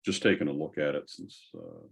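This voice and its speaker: Man, bottomed-out pitch, husky and rough, casual explainer, hosting an online tech discussion. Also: huge pitch swings, normal speed. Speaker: host of a software community call. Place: online group call.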